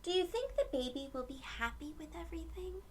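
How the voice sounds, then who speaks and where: A woman voicing a worried, earnest young boy in a storybook, asking hushed, anxious questions. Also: very high voice, big pitch swings, brisk, slight background noise, voice pushed up.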